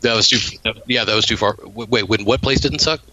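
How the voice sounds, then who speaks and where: A man in his forties co-hosting a casual podcast, answering in a hesitant, halting way. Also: mid-range voice, pushed and bright, no room sound, highs cut off. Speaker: podcast co-host.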